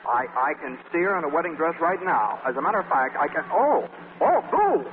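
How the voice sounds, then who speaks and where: A man's voice: on the high side, sing-song, fairly quick. An actor playing a suave, worldly older man who schemes and teases in a 1940s radio play. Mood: scheming. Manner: smooth, teasing, stammers playfully. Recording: tinny old radio broadcast with hiss and reverb.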